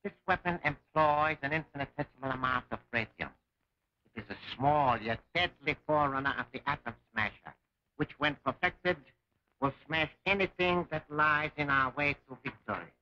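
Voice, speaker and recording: A man's voice: fairly high pitch, normal speed, bright, forceful tone. A man playing a cold, commanding enemy scientist in a 1940s film serial, speaking formally and precisely. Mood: grandiose, menacing. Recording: dull lo-fi 1940s serial soundtrack.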